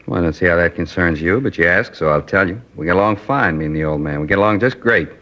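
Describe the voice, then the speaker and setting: An actor playing a defensive, irritable suspect who answers curtly and sarcastically in a 1950s radio drama. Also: male voice, sits low, quick pace, a bit bright. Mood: irritated. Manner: curt, sarcastic, evasive. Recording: dull lo-fi 1950s radio recording.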